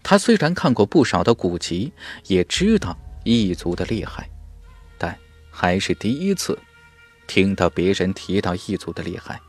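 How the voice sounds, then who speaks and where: A man's voice: sits low, big pitch swings, moderate pace, faint music behind. A man reading scripted dramatic narration as the narrator of a fantasy audiobook.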